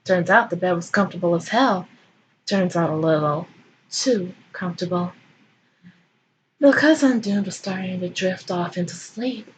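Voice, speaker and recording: A woman's voice: lowish voice, normal speed, a little hiss or hum, rough recording. A woman giving a comic dramatic reading of fan fiction as host, in a theatrical, irreverent manner.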